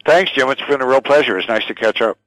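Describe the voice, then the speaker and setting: An older man answering interview questions thoughtfully and haltingly, often repeating words as he goes. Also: mid-range voice, a bit bright, dry recording, poor audio. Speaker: radio station general manager, interview guest.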